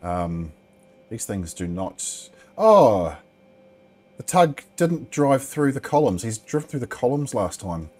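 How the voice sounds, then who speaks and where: A man's voice: mid-range voice, very animated, takes it slowly, darkish tone, breathy edge. A man in his thirties with a New Zealand accent giving casual, rambling flight sim commentary full of ums and the odd swear, speaking into a headset at home.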